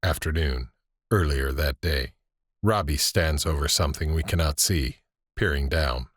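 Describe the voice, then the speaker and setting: A man narrating scene directions in a scripted audio drama, grave and measured. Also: a bass voice, breathy edge, no room sound, clean studio sound, exaggerated voice. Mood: measured, suspenseful.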